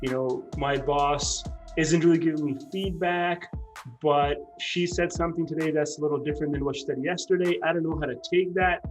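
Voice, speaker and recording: A man's voice: fairly high pitch, steady tone, normal speed, soft background music. A man hosting a podcast interview, enthusiastic as he introduces his guest and asks questions. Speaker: podcast host.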